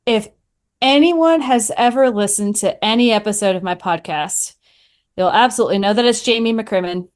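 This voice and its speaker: A woman chats casually as a podcast guest. She tells things in an animated, storytelling way, with a mid-pitched voice and little acted-out quotes. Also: normal speed. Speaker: podcaster answering questions as a guest. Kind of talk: casual chat.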